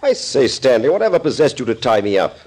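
An actor playing a mature, proper, courteous and easily flustered doctor in an old radio thriller. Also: male voice, mid-range voice, sing-song, fast talker, darkish tone. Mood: reproachful. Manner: proper, mildly indignant. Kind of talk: 1940s radio thriller acting.

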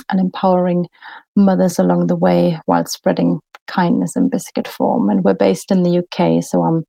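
A woman, a podcast guest talking in a relaxed way, often pausing with fillers and restarting sentences. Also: fairly low pitch, creaky, no echo. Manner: thoughtful, many fillers and restarts. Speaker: podcast guest, bakery business co-founder. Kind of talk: casual interview conversation.

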